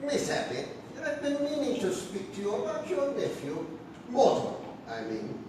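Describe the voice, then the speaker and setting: A man plays a genteel, prim clergyman in a stage comedy, his high voice lilting with careful courtesy. The sound is distant and very echoey. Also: wildly swooping pitch, normal speed, heavily acted. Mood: prim disapproval. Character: genteel, prim minister, mildly disapproving and polite. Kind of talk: comic stage play performance. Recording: distant, very echoey theatre recording with hiss.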